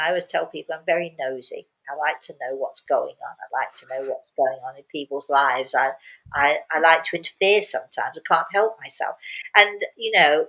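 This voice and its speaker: A woman's voice: on the low side, a bit bright, low-quality recording. An older woman speaking as a spiritual medium, telling stories casually and repeating words for emphasis. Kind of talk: casual storytelling talk.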